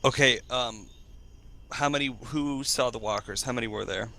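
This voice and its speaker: A man's voice: mid-range voice, normal speed, bright, forceful tone. A man role-playing with a group over online voice chat, practical and matter-of-fact, questioning the others' plans. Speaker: tabletop role-play player.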